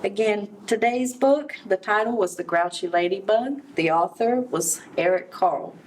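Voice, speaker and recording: A woman reading a picture book aloud to young children, expressively, pausing to explain and ask questions. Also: medium pitch. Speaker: children's story reader, early education center director. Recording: distant mic with background noise.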